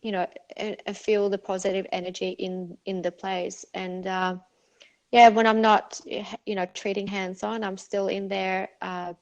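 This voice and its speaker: A woman's voice: mid-range voice, little pitch movement, normal speed, low-quality recording. Woman, middle-aged, faded Norwegian accent, chatty, fillers and restarts, interview guest. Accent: Norwegian, partly faded. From Norway. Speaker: clinic owner guest. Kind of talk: casual interview answers.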